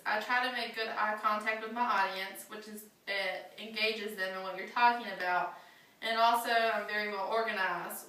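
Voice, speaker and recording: A woman's voice: mid-range voice, pushed and bright. A young adult woman, a student giving a prepared class speech, earnest and a little nervous, with some fillers. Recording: echoey classroom from far off.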